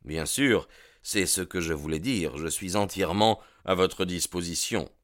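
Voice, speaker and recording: A bold young recruit, played by a male reader in close to his own voice. He speaks deep, slow and sure of himself. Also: fairly bright tone, no echo. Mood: confident.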